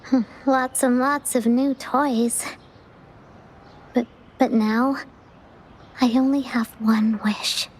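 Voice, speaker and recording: A woman voicing a timid, wistful young child in an anime-style video game, speaking softly and hesitantly. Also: on the high side, steady tone, slow, pauses often, slight background noise. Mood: wistful, sad.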